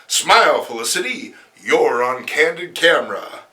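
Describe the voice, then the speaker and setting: Wisecracking young superhero performed by a man, breezy and mocking, bright, animated, comic-book reading. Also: fairly high pitch, unhurried, voice pushed down, heavily acted.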